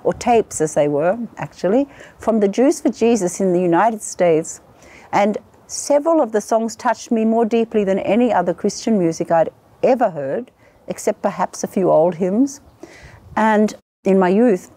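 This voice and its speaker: A middle-aged Australian woman from Sydney giving an earnest, measured personal testimony as a guest. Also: mid-range voice, lively intonation, dark tone. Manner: earnest, measured, lists in careful steps. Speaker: guest, singer and worshipper.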